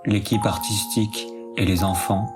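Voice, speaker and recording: A man reading scripted credits as a narrator, in a measured and even delivery. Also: on the low side, over music.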